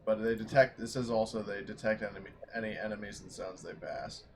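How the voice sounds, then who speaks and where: A man's voice: on the low side, fairly even intonation, brisk, background noise. A man chatting casually as a player in a tabletop roleplaying game, with a wry, sarcastic manner.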